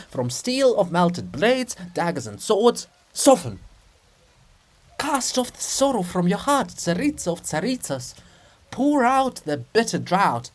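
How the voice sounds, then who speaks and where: An ecstatic male holy poet, as a reader puts him on. He declaims in a grandiose, rhapsodic stage manner, drawing words out and ranging widely in pitch. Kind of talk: declamatory dramatic reading.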